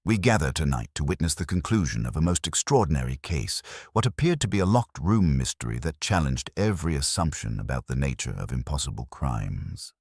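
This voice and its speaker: A man voicing a fastidious, supremely certain middle-aged detective, delivering measured and grand pronouncements in a very deep voice. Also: big pitch swings, creaky, a little mellow, slightly rough, no echo, studio quality, heavily acted. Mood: assured.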